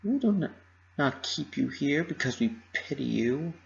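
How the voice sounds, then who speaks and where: Guy doing a soft motherly pony lady, raised pitch, tender n reassuring, storybook, bit muffled. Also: animated, a little slow, creaky. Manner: tender, consoling delivery. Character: gentle, reassuring motherly adult female pony. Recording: slightly muffled lo-fi recording.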